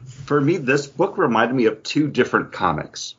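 A man's voice: mid-range voice, big pitch swings, normal speed, fairly bright tone, husky, lo-fi sound. A man co-hosting a podcast, giving a review in casual, earnest, explanatory talk. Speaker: podcast co-host reviewing comics.

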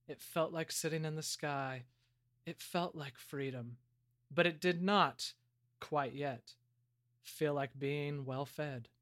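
A man with a slightly high voice acts as podcast host and narrator. He moves between casual hosting and scripted storytelling for an audio drama. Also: a bit bright.

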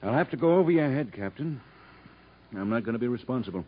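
A man playing a level-headed, principled prison doctor in a 1950s radio drama, in a measured, matter-of-fact way. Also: medium pitch, animated, close to an everyday voice. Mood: concerned, firm. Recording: muffled vintage radio broadcast.

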